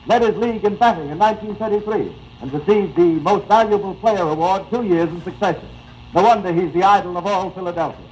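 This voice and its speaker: A man's voice: sits high, slight background noise. An American man reading a scripted announcement in 1930s radio style, on a slightly distorted broadcast.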